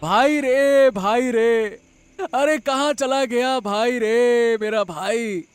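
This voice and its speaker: Rustic elder brother: simple, excitable, plaintive, very high voice, storybook melodrama. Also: male voice, drawn-out words, faint music behind, exaggerated voice. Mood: plaintive alarm. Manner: plaintive, folksy, colloquial. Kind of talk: melodramatic storybook audio drama.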